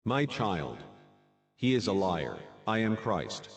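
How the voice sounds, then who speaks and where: A man playing a calm Christ-like being who gently and solemnly coaxes a child in a scripted horror drama. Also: low voice, takes it slowly, gravelly, exaggerated voice. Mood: coaxing, solemn. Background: music.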